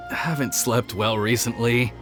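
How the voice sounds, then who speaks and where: A man's voice: medium pitch, steady tone, unhurried, lightly acted. An actor playing a dutiful, anxious young male retainer in a video game, polite, formal and a little hesitant. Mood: apologetic, anxious. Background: background music.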